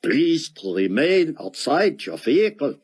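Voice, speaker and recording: A man's voice: fairly high pitch, big pitch swings, husky, pitched above the performer's own voice, heavily acted. Man doing a stiff officer with a heavy accent. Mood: suspicious. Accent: heavy foreign accent. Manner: stilted, slow, commanding phrasing. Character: young male foreign peacekeeper officer, stiff and suspicious.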